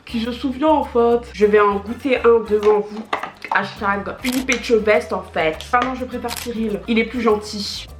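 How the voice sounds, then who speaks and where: A seventeen-year-old girl chatting casually through a birthday haul, bubbly and slangy, with bursts of excitement. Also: medium pitch, echoey room. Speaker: vlogger. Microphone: camera mic.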